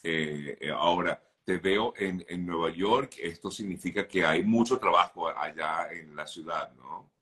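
A man in his fifties hosting an interview on a video call, reading viewer questions in a steady, brisk way. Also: low voice. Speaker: host, journalist. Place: home office. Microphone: video call webcam.

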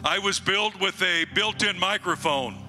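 An older man in his sixties from the United States, a guest pastor with a booming voice, preaching and praying slowly into a handheld microphone on a church stage. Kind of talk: sermon and prayer. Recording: long hall reverb, faint music behind.

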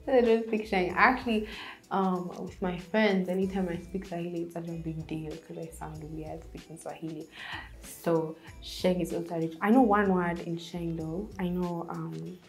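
A young woman from Kenya answers interview questions in an easygoing, chatty way, full of little fillers. She talks slowly and takes her time, with a mid-pitched voice. Soft music plays faintly underneath. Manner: relaxed, chatty, many fillers like "like" and "um". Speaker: interviewee, singer. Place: indoors, seated before a stone-look backdrop.

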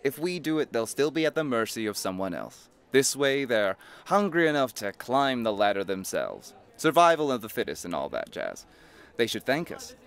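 A smug, greedy businessman in an audio drama, acted by a man. His mid-pitched voice swings up and down as he mocks and talks people round, slick and scheming. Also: big pitch swings, moderate pace, clean tone. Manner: mocking, slick, persuasive. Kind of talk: scripted audio play acting.

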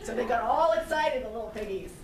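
A woman's voice: fairly high pitch, expressive, fast talker. A middle-aged woman telling a story to young children in simple phrases, often putting questions to them. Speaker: storyteller and puppeteer. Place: daycare classroom, indoors. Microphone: camera mic at some distance. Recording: echoey noisy room, distant camera mic.

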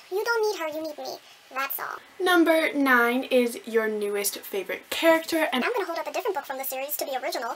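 High-voiced, animated young woman vlogging. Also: a little hiss or hum. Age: young adult. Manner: animated, gushing, self-mocking. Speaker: book vlogger. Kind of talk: casual chat to camera. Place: small room at home with bookshelves.

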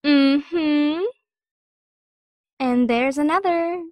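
Bossy, high-voiced teenage girl, acted by a woman. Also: extremely slow, no echo. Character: bossy, vain, manipulative teenage girl mentor. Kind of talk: audio drama acting.

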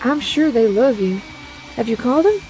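Young team heroine actress: higher female voice, familiar and candid, music bed behind. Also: big pitch swings, moderate pace, a little mellow, poor audio. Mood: warm, teasing. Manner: informal, familiar. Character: frank, affectionate female mutant hero. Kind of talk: audio drama acting.

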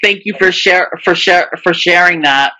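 A woman's voice: on the low side, moderate pace, bright, forceful tone. A woman hosting a video call discussion, speaking with a stutter that repeats words and sounds. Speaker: webinar host.

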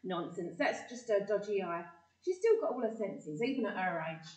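A woman's voice: medium pitch, lively intonation, normal speed, a little mellow, far from the mic, lightly acted. A woman playing a bossy, nagging but good-hearted London matriarch in a community audio play, with an exasperated, scolding manner. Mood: brisk, reassuring. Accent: London English. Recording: echoey room, away from mic.

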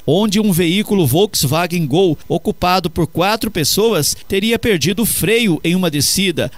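A man with a Brazilian Portuguese accent reading a police news bulletin on local radio in a steady, formal way. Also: high voice, dry recording. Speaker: radio newsreader.

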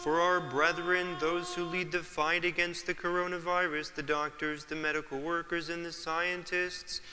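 A clergyman leading an Orthodox litany in a solemn, measured intoning manner. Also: on the high side, little pitch movement, sharp tone. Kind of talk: chanted liturgical litany. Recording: church reverb, faint ambience.

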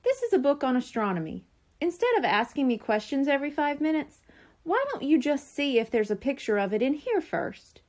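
A woman's voice: high voice, very animated, a little fast, a little mellow, pitched above the performer's own voice. A woman voicing a brainy, condescending young boy in a storybook, explaining things precisely and patiently. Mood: patient lecturing. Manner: precise, explanatory, know-it-all.

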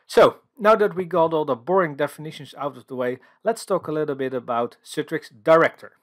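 Man, Dutch accent, slightly high voice, conference presenter, explanatory, frequent fillers, screen-recording voice-over. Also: brisk. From Netherlands.

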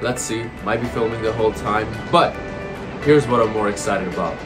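A young man from Bangladesh hosting a joking, upbeat travel vlog on a beach, talking into a lapel mic. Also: on the low side. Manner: fast, joking, self-mocking delivery. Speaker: travel vlogger. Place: outdoors on a beach. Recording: music playing under the voice.

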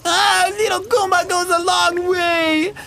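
A man's voice: very high-pitched, flat delivery, slow pace, gravelly, sharp tone, slight background noise, pitched above the performer's own voice, full character voice. A man voicing a pleading video game character, reading its dialogue aloud in character. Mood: plaintive, pleading.

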